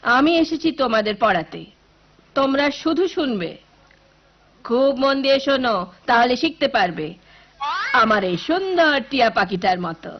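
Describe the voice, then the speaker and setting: A woman's voice: high-pitched, very animated, moderate pace, pauses often, full character voice. A woman playing a bossy, imperious, impatient new schoolteacher in a cartoon. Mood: haughty. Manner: scolding, imperious. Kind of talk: cartoon voice acting. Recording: dull lo-fi cartoon audio with music.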